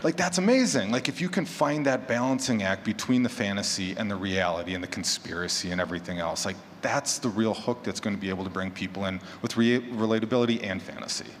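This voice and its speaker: A man's voice: on the low side, quick pace, hardly any pauses. A man speaking casually on a conference panel as a podcaster, conversational and full of hypothetical examples. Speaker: panelist, horror fiction podcaster. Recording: echoey hall, clear background noise.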